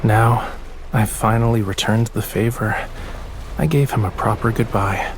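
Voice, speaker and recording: An actor playing a young, brooding, teasing artist in a romance game, speaking softly and intimately. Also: male voice, fairly low pitch, fairly even intonation, unhurried, a little hiss or hum, rough recording. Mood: tender, brooding. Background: music behind the voice.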